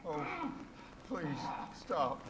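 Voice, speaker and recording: Slow, frightened pleading victim. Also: mid-range voice, huge pitch swings, fairly bright tone, slight background noise, heavily acted. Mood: terrified, pleading. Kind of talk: video game voice acting.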